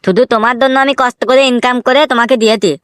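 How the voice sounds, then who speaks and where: A woman voicing a cheeky, scheming little boy in a comic cartoon, with a pushy, whiny, quick-fire way of talking. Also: fairly high pitch, steady tone, background noise, lightly acted. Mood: boastful wooing.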